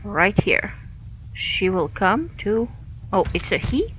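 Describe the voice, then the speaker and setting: A woman's voice: mid-range voice, big pitch swings, slow pace, a bit bright, a little hiss or hum, poor audio. A woman from Finland with a Finnish accent, thinking aloud with many fillers while she streams a game. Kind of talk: live gameplay commentary.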